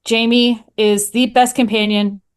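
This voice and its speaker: A woman podcaster talking casually as a guest, telling stories in an animated way and acting out little quotes. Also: fairly high pitch, unhurried, clean tone. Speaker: podcaster answering questions as a guest. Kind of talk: casual chat.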